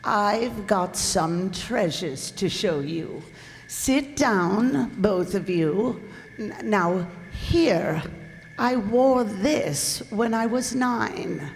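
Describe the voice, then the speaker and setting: An old widow of seventy-five , played by a woman, in a radio play. She speaks slowly and formally, stammering when flustered, proud and easily wounded. The recording carries a hall's long echo and some noise. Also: mid-range voice, lively intonation. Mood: eager. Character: elderly widow, 75, indignant, wistful, proud of her girlhood. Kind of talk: stage radio-play acting. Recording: echoey hall, noisy live stage recording.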